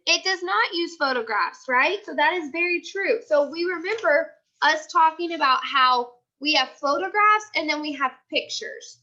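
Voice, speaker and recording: A woman's voice: high-pitched, lively intonation, normal speed, hardly any pauses, sharp tone, highs cut off. A woman teacher leading a live online class discussion, prompting with repeated questions and short commands.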